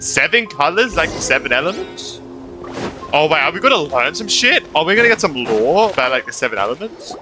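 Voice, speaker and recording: Animated man doing casual game commentary. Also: on the high side, brisk. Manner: sweary, reactive, thinks out loud. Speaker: gaming YouTuber and streamer. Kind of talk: casual let's play commentary. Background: game music.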